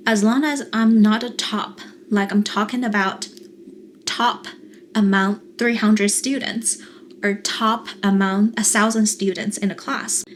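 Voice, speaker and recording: A young adult woman with a Mandarin Chinese accent, a therapist talking calmly and earnestly to camera, explaining things in a coaching style. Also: medium pitch, a little slow, a little hiss or hum. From China. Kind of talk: calm coaching monologue to camera.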